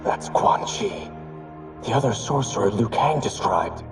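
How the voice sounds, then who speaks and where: An actor playing a dutiful, earnest younger warrior in a video game, with emphatic dramatic delivery. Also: male voice, on the low side, expressive, measured pace, far from the mic, music in the background. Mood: resolute.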